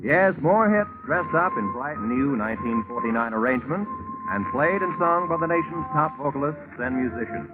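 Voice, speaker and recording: A man's voice: medium pitch, lively intonation, moderate pace. A man with an American accent announcing network programs in scripted 1940s radio style. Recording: tinny 1940s radio broadcast with music.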